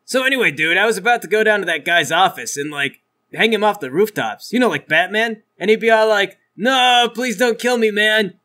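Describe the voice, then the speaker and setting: A man's voice: high voice, brisk, pushed and bright, pitched above the performer's own voice. A man voicing a laid-back, cocky cyborg vigilante with slangy bro talk in a comedic tabletop game. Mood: breezy, cocky.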